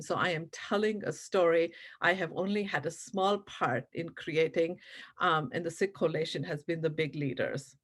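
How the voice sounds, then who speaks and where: Woman from India, native Punjabi speaker, somewhat low voice, earnest teaching tone, video call. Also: slightly rough. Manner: earnest, explanatory, with frequent fillers and "right?" checks. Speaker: educator presenting on Sikhism. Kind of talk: online presentation to teachers.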